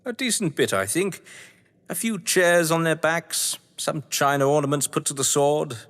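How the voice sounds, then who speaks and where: Older British gentleman villain, played by a male actor: high-pitched, florid, scheming, audio-drama delivery, echoey hall. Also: normal speed, lightly acted. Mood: gleeful, directing. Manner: florid, pompous, sardonic. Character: theatrical, scheming upper-class male crime novelist and manor host. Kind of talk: stage-play audio drama acting.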